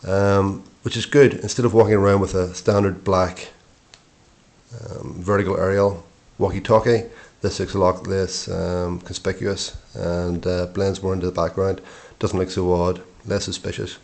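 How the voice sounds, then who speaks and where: A middle-aged man giving an informal product review in short, matter-of-fact phrases with hesitant pauses. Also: low-pitched, flat delivery, vocal fry, a little mellow.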